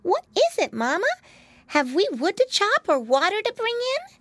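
A woman voicing an excitable, eager little boy piglet in a children's storybook. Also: very high-pitched, moderate pace, no echo, clean studio sound, pitched above the performer's own voice. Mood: eager, curious. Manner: exclaiming, eager.

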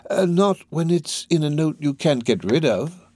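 A man voicing a sly, ingratiating older criminal in a storybook reading, drawing his words out slowly. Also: fairly high pitch, lively intonation, darkish tone, no room sound, voice pushed up. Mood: sly, coaxing. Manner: slow, drawn-out, ingratiating. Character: sly, wheedling older male criminal fence.

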